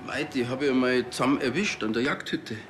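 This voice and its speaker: An actor with a Bavarian accent playing a proud, obsessive rural tinkerer, boastful and earnest. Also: male voice, mid-range voice, a little fast, background noise, natural voice. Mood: resentful. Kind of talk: TV crime comedy acting.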